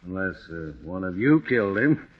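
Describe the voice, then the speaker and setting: An actor playing a calm, shrewd police chief inspector who talks unhurriedly and hesitantly in a 1940s radio drama. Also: male voice, sits low, normal speed. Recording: muffled lo-fi old radio.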